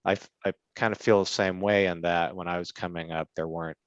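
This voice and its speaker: A man moderating a panel, asking questions in a hesitant, soft-spoken way. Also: low voice, fairly even intonation, brisk, no echo. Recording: dull, muffled, dry.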